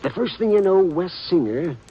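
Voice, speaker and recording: An actor in a 1950s radio western playing a chatty, gossipy old printer with a folksy drawl. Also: male voice, sits high, animated, moderate pace, lo-fi sound, a little hiss or hum. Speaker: small-town newspaper printer. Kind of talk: 1950s radio drama acting.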